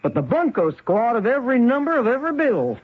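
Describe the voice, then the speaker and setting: A wealthy older widow with a high, very animated voice. She is theatrical, teasing and shrewd, with folksy exclamations. It comes over as a tinny, slightly noisy radio drama recording. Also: fast talker, breathy edge. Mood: knowing, confident. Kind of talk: 1970s radio drama acting.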